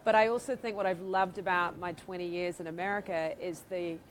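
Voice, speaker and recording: A woman's voice: lowish voice, fairly even intonation, fairly quick, slight background noise, low-quality recording. A middle-aged woman with a New Zealand accent, a guest speaking enthusiastically in an interview with frequent laughs. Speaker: author and guest. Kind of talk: interview conversation.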